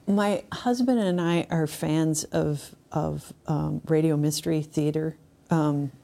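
A woman's voice: low voice, steady tone, slow pace, vocal fry, warm tone. A middle-aged woman hosting a podcast, talking conversationally and reflectively between her questions. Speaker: podcast host, spiritual director. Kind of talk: conversational interview with reflective asides.

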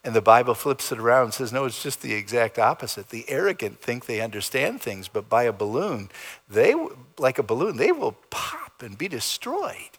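A man preaching a sermon as pastor, in a dramatic style that swings between loud emphasis and soft, low endings. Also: big pitch swings, moderate pace, husky. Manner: dramatic preaching with big swings in pitch and loudness. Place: church sanctuary.

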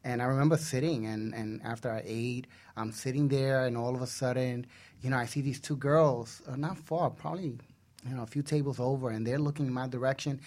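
A man's voice: medium pitch, steady tone, brisk, a little mellow. A man co-hosting a talk show, telling anecdotes in a casual, jokey, self-deprecating way.

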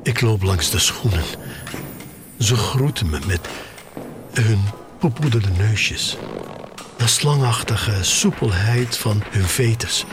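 An actor playing a sly, obsessive collector who narrates his own tale in an unhurried, savouring way for an audio drama. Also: fairly low pitch, expressive, noisy background. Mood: smug, cool. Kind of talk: scripted first-person audio drama narration. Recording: music bed under voice.

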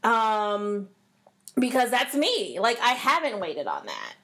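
A woman's voice: on the high side, slow pace. A woman co-hosting a casual talk show, naturally nasal and extra stuffy from a cold, speaking candidly and straight to her listeners. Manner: candid, expressive, addresses listeners directly. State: getting over a cold. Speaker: podcast co-host. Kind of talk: casual conversation.

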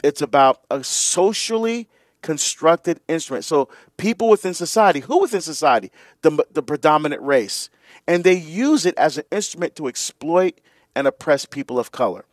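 Relaxed talk-radio host, higher voice. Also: male voice, moderate pace, no echo, studio quality. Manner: relaxed explaining with fillers and laughs.

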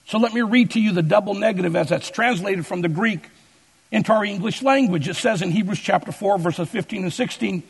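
Gravelly high-voiced preacher in a reverberant hall. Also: male voice. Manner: deliberate preaching with emphatic slow stresses. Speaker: pastor. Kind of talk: sermon.

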